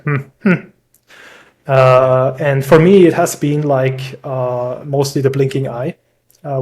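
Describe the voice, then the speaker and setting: A man's voice: medium pitch, darkish tone. A young Swiss man, a podcast guest, talking in a chatty, self-deprecating way and laughing often over a video call. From Switzerland. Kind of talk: casual conversational interview.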